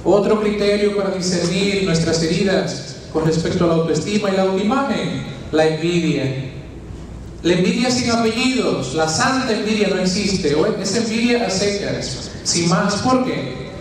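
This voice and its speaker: Dominican friar giving a sermon: high-voiced man, questions and homely sayings, caught from afar in a very reverberant church. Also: a little hiss or hum. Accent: Dominican Spanish. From Dominican Republic. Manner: teaching with rhetorical questions and folksy asides. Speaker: preacher, friar. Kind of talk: sermon at a healing retreat. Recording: very echoey church, distant mic.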